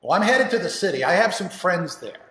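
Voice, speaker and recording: A man playing a restless, defiant young son in a stage-style monologue, with forceful, stammering outbursts, desperate to leave. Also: sits high, expressive, unhurried, voice pushed up, exaggerated voice. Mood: frustrated, desperate. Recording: roomy, echoing hall with long reverb.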